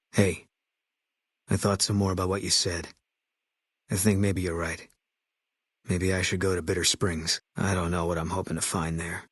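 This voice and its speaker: A man voicing a grim, embittered military sniper in a video game, terse and guarded, with a husky voice. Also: deep voice, no echo, studio quality. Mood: somber, reflective. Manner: terse, guarded, flat, clipped delivery. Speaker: video game companion character. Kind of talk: game voice acting, terse dialogue lines.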